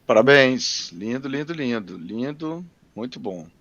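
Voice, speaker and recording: A man's voice: mid-range voice, animated, unhurried, a bit bright, no room sound, lo-fi sound. A male professor giving feedback on student architecture projects, praising them with repeated words of approval.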